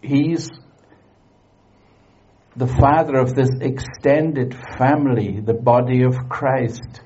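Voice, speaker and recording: Slow, halting male preacher, dull recording. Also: medium pitch, dark tone, a little hiss or hum. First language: English. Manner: halting, many fillers and restarts. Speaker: guest preacher. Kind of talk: sermon with interpreter, short phrases.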